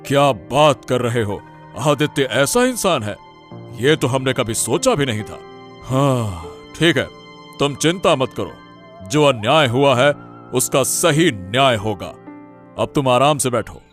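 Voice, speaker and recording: A man plays a respected cartoon village headman with a medium-pitched voice. He talks slowly, with welcoming authority, over faint music. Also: expressive, fairly bright tone. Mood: reassuring. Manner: reassuring, authoritative. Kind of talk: Hindi cartoon moral story dialogue.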